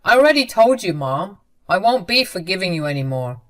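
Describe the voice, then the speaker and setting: A furious, unforgiving grown man laying down the law to his mother in a storybook tale, voiced by a woman narrator. He speaks firmly and coldly, with forceful emphasis. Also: lowish voice, big pitch swings, a little fast. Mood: angry. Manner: firm, cold and forceful. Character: angry grown son, firm and unforgiving man.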